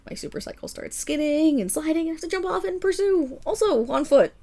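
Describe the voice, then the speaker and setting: A woman's voice: sits high, lively intonation, a little fast, dark tone. A woman playing a flustered, boastful female superhero who rambles defensively through a dramatic public apology speech in an audio roleplay. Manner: rambling, defensive, dramatic storytelling with nervous laughs. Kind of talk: scripted audio roleplay monologue as a press conference speech.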